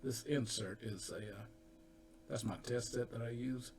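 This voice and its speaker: An older man casually demonstrating his audio gear, explaining in a halting, unhurried way with pauses. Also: medium pitch. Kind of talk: casual hands-on equipment demonstration. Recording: noisy home recording with equipment tones. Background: steady test tones at times.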